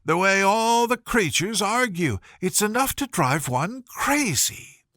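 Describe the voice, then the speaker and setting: A curious, polite young storybook girl, played by a male narrator. She sounds earnest and fretful, quick to get exasperated. The voice is lifted high and bright, with animated swings in pitch. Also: no room sound, studio quality, heavily acted.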